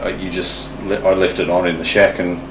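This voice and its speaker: A man explaining things informally and haltingly, repeating words, in an echoey room away from the mic. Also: low-pitched, little pitch movement. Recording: echoey room, distant mic, faint noise.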